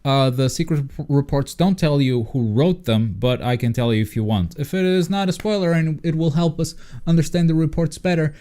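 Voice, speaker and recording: A man's voice: on the high side, brisk, few pauses, mellow. A man hosting a livestream, chatting casually about a game in a wry, joking manner. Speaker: livestream host. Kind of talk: casual gaming stream commentary.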